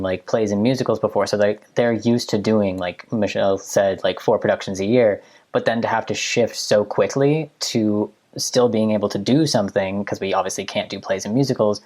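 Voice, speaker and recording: A man's voice: fairly low pitch, a little mellow. Rambling teenage guy, full of "like". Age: late teens. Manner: rambling, heavy with filler "like". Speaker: high-school student, production assistant. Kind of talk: casual chat.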